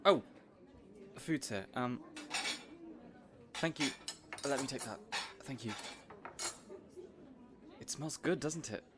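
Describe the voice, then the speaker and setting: A man playing a boyish, caring supervillain boss in an audio roleplay, earnest and rambling, hesitant yet excitable. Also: medium pitch, slow, long pauses, very noisy, close to an everyday voice. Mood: excited, sheepish.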